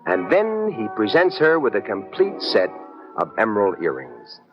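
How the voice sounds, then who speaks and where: An actor playing a wry, smooth, hard-boiled American private detective in a 1950s radio drama, with slangy noir delivery. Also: male voice, on the low side, huge pitch swings, moderate pace. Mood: wry, tense narration. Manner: wry, slangy, second-person noir narration. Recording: dull vintage radio recording, music underneath.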